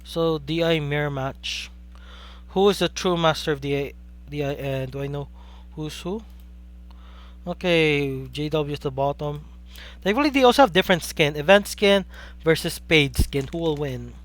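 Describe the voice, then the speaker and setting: A young man with a Filipino accent calls a card game live, his voice pitched a little above the usual for a man. He gets excitable and keeps asking rhetorical questions, now and then greeting viewers in chat. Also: moderate pace. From Philippines. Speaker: caster for a card game stream. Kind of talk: live play-by-play commentary.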